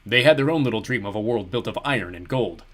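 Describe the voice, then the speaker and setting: A man voicing a huge, cruel ancient ogre lord who talks slowly and boastfully. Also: lively intonation, a bit bright. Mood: gloating. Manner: booming, slow and gloating. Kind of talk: audiobook character voice.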